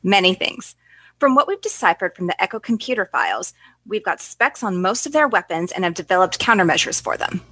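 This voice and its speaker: Radio comedy science commander, female actor: brisk, self-assured, prickly, sharp husky voice, dry sound. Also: mid-range voice, very animated, no echo. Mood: confident, brisk. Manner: brisk, confident, quick to bristle. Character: capable, feisty female space-station science commander. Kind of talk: comedy sci-fi radio acting.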